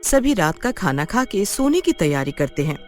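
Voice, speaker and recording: A woman narrates a scripted children's tale in a fairly low voice. Her intonation is very animated, and soft music plays behind her. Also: normal speed.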